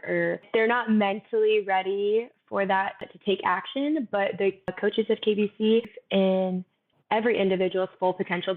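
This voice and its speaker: A young woman, a fitness coach, talking softly and thoughtfully in a casual interview, laughing easily. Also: on the low side. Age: young adult. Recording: tinny phone-like line.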